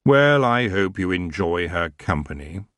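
A man voicing a lazy, seductive male elf king who drawls his words slowly. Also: deep voice, lively intonation, fairly bright tone, dry recording, clean studio sound. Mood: weary. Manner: slow, languid, drawling.

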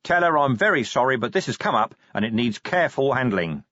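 A man playing a gruff, weary middle-aged watch commander who speaks bluntly and grumbles. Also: medium pitch, big pitch swings, fairly quick, pushed and bright, no echo. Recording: dry, slightly dull recording.

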